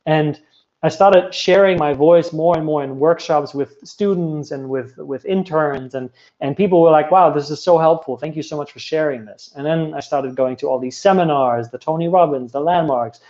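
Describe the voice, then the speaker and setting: A man's voice: on the high side, moderate pace, a little mellow. A man in his thirties from Germany with a German accent, candidly telling an audience his life story with frequent restarts. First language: German or Italian. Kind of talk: personal story talk to an audience. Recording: dull lo-fi sound.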